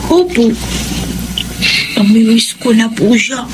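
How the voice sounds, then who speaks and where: An actress playing a meek, hopeful young son in a radio drama, polite and childlike, with a Filipino accent. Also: female voice, fairly high pitch, lively intonation, slow pace. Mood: wistful, hopeful. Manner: polite, soft-hearted, childlike. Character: meek, hopeful schoolchild son missing his father. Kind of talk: Tagalog radio drama acting. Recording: clear background noise.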